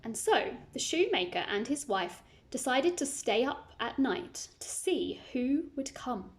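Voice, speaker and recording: A woman's voice: high-pitched, sing-song, a little slow. A woman reading a children's story aloud, narrating expressively and adding simple asides for young listeners.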